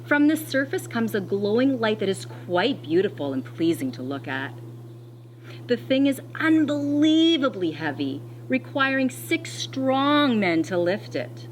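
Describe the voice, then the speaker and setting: A woman teacher reading a picture book aloud to children in an expressive, deliberate way, pausing to explain as she goes. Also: on the high side, a little hiss or hum, poor audio.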